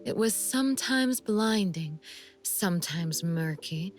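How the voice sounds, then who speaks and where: A woman playing a sly, menacing young female assassin in a video game, speaking in a teasing, deliberate manner. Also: lowish voice, animated, faint music behind. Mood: wistful. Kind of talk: scripted game character monologue.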